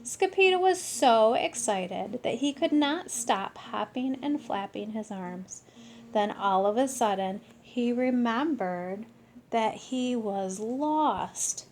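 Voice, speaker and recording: A woman reading a children's story aloud in an expressive, animated way with deliberate phrasing. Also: fairly high pitch, slight background noise. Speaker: storybook reader.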